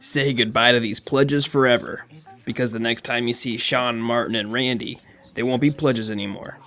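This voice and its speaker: A man's voice: medium pitch, steady tone, moderate pace, sharp tone. Young male fraternity president, commanding and cocky, mid-range voice for audio horror drama. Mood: rousing, boastful. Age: young adult.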